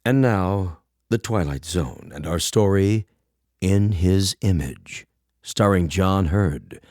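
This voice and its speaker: A portentous programme announcer for a radio drama. His deep voice is grave and deliberate, recorded very dry with faint music underneath. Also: darkish tone, no room sound.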